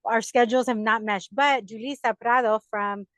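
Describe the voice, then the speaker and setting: A woman's voice: on the high side, steady tone, normal speed, fairly bright tone, no echo. A young woman hosting a podcast, chatting casually and animatedly, laughing often. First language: English. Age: young adult. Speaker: podcast host. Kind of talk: casual interview chat.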